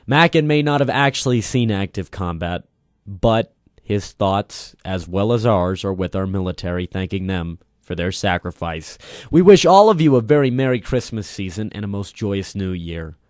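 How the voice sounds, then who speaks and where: A man's voice: fairly low pitch, animated, normal speed, no echo, studio quality. A man giving an earnest, formal radio show sign-off as its writer and director. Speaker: writer, director and lead actor, signing off.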